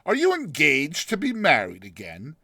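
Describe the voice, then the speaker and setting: A man's voice: fairly high pitch, wildly swooping pitch, moderate pace, sharp tone, no echo, studio quality, exaggerated voice. A man playing a weary, sardonic male undergraduate, resigned and sarcastic, in a dramatized short story. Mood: exasperated, sardonic.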